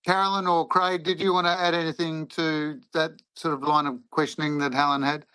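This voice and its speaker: An Australian man chairing a parliamentary hearing, speaking haltingly with many fillers. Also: fairly high pitch, level tone, pushed and bright, clear, resonant tone, rough recording. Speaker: committee chair.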